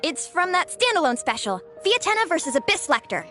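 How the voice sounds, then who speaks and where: High, animated know-it-all fan girl. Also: brisk, faint music behind, exaggerated voice. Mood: smug, excited. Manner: pedantic, proud. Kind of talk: video game voice acting.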